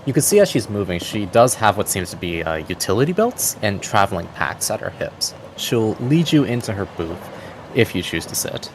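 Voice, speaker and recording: A man narrating a tabletop roleplaying game as game master, with measured, evocative storytelling. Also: fairly low pitch, big pitch swings, noisy background. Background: music.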